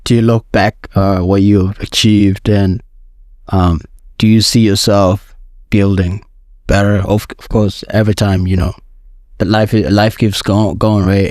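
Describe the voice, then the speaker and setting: A man's voice: on the low side, level tone, a little slow, a little mellow, clean studio sound. A man from Africa hosting a casual interview, encouraging his guest and echoing his words.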